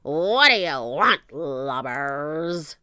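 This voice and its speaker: A woman's voice: low-pitched, animated, very slow, sharp tone, clear, resonant tone, dry recording, studio quality, heavily acted. A woman voicing a horrible, bullying pirate captain in a children's storybook, growling and roaring orders in pirate talk. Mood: roaring, commanding.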